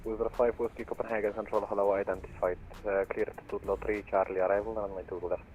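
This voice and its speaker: A man's voice: fairly low pitch, level tone, fast talker, darkish tone. A man making clipped aviation calls over the radio. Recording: dull radio, faint music behind.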